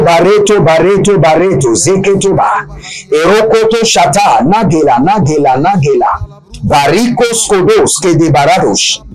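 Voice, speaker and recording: A man leading a deliverance prayer service, preaching slowly and emphatically and chanting long runs of speaking in tongues. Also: high voice, poor audio.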